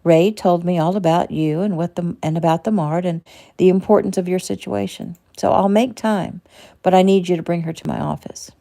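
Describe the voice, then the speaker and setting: A woman voicing a calm male doctor who questions his patient quickly and evenly. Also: fairly low pitch, gravelly. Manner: calm, probing.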